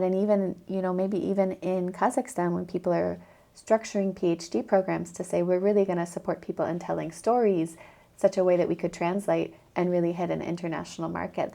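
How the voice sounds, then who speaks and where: A woman's voice: fairly low pitch, creaky, mellow. A middle-aged American woman, an anthropologist, answering interview questions in a reflective, enthusiastic way and laughing easily. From United States. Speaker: anthropologist guest.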